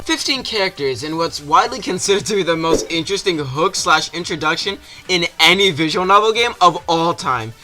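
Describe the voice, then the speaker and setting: A man's voice: fairly high pitch, animated, fairly quick, few pauses. A man narrating a comedic scripted video game review with a sarcastic, exaggerated delivery. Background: music behind the voice.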